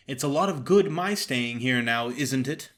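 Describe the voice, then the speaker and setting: A man's voice: mid-range voice, lively intonation, normal speed, a bit bright, lightly acted. A man playing a glum minor detective with wounded pride, speaking in a subdued, curt, guarded manner for an audio drama. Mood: bitter.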